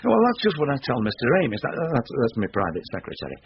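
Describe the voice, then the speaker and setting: Shady, animated businessman. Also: medium pitch, quick pace, vocal fry, husky, full character voice. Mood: self-important. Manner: self-important, hesitant, confidential. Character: boastful, shady self-made businessman, slyly confiding. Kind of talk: British radio drama acting. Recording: dull lo-fi radio recording.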